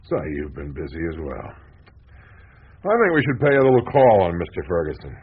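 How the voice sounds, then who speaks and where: A deep, sardonic, hard-drinking newspaperman. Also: huge pitch swings, a little slow, narrow, tinny audio, slight background noise, full character voice. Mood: wry, tipsy. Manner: sardonic, theatrical, rambling. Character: world-weary, hard-drinking male newspaper reporter, sardonic. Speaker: actor in a radio drama. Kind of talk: radio drama acting.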